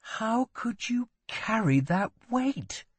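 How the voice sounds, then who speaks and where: Man voicing a petulant young prince, high-pitched. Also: sing-song, moderate pace, no echo. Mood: indignant. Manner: scornful, dramatic, demanding. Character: petulant wounded young prince, playful yet guilt-ridden.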